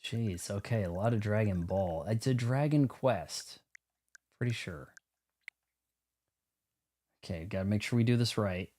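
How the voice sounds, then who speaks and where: A man's voice: lowish voice, a little slow, long pauses, warm tone. A man giving casual, rambling let's play commentary on a video game, talking to himself as he goes. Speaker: streamer playing a video game. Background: game music.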